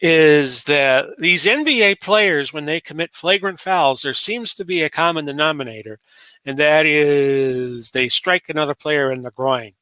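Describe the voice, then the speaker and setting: A man's voice: a little high, measured pace, bright, forceful tone, clear, resonant tone, no room sound. A man in his late forties from Florida, hosting a solo talk show in a rambling, casual way full of fillers and restarts. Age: 40s. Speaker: podcast host.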